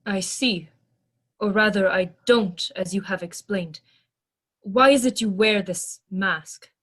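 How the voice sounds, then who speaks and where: A woman's voice: medium pitch, slow, a bit bright. A woman playing an earnest, literal-minded non-human crew member in a sci-fi audio drama, speaking formally and precisely. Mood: puzzled, literal.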